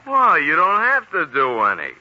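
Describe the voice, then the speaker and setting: A man's voice: fairly high pitch, wildly swooping pitch, moderate pace, bright, forceful tone, highs cut off, heavily acted. An actor playing a cocky, breezily persuasive man, someone's best pal, in a 1940s radio drama. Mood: breezy, insistent. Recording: thin 1940s radio recording.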